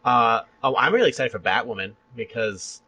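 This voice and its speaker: Gravelly, bright-voiced male podcast host. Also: medium pitch, animated, dry recording, rough recording. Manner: enthusiastic, rambling, quick to laugh. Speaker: podcast host interviewing a guest. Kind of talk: casual fan chat interview.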